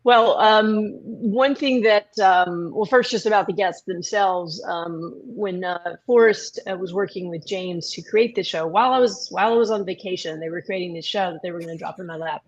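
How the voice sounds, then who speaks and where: A woman's voice: fairly low pitch, moderate pace, hardly any pauses, lo-fi sound. A woman speaking as a producer on a panel, explaining her work in a conversational way. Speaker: podcast producer.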